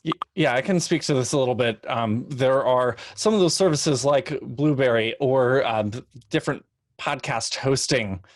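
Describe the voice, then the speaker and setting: A man speaking as an expert panelist, explaining things in a halting way and often checking in with the listener. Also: medium pitch, no room sound. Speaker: podcast industry panelist.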